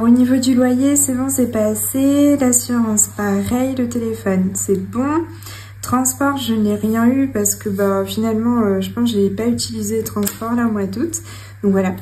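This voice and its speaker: A young woman with a slightly high, mellow voice talks slowly and chattily to her viewers, pausing on lots of fillers and giggling now and then. She sounds like she's at home, with faint household noise in the background. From France. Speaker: budgeting channel host. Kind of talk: casual talk to camera while writing. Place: home room with her cat.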